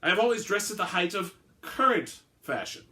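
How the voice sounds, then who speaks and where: A man's voice: high-pitched, expressive, normal speed, bright, forceful tone, voice pushed up. A man voicing a suave, haughty centuries-old vampire with formal, pompous phrasing, in a dramatic story reading. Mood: indignant. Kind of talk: dramatic reading of an all-dialogue short story.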